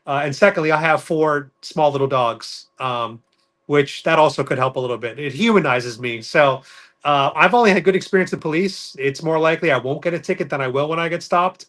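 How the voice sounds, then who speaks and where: Male podcast guest from New York, bright and forward tone, level matter-of-fact delivery. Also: on the high side, brisk. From New York City. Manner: matter-of-fact, orderly storytelling. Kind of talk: casual podcast interview.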